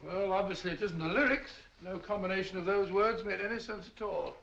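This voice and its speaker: An actor playing a bumbling, easily amazed English doctor who talks in flustered exclamations, in a 1940s film. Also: male voice, high voice, a little fast, vocal fry, fairly bright tone, echoey room, lo-fi sound, slight background noise. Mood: puzzled.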